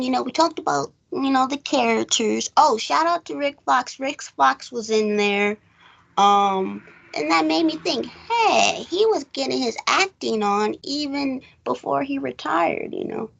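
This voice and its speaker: A woman's voice: sits high, animated, slow pace, gravelly, low-quality recording. A woman hosting a casual film discussion, rambling conversationally and thinking aloud as she goes. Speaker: host of a film recap show.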